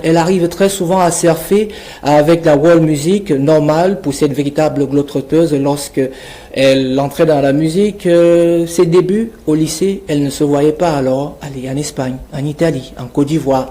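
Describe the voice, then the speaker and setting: A man's voice: on the high side, steady tone, moderate pace, warm tone. A man with a Cameroonian accent reading a scripted portrait of a guest in a theatrical, declamatory manner. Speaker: radio columnist presenting a guest portrait.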